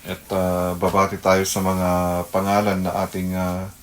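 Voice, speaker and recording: A Filipino man hosting a religious radio program in a casual way, halting at times with supportive interjections. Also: low voice, completely flat delivery.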